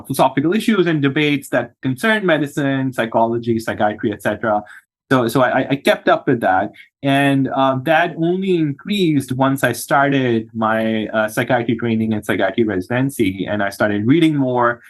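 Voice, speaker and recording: A man from Pakistan, a psychiatrist guest on a podcast, speaking thoughtfully with frequent hesitations and restarts. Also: medium pitch. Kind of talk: podcast interview.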